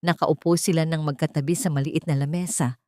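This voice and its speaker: A woman's voice: low-pitched, fairly even intonation, a little fast, warm tone, no room sound, studio quality. A woman narrating a scripted dramatic story as its storyteller.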